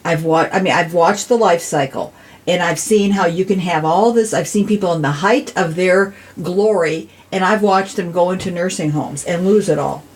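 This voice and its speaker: A woman's voice: on the low side, normal speed. An American woman aged sixty, speaking as a podcast guest in an earnest, slightly preachy conversational way. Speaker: podcast guest, city council member. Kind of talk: casual discussion.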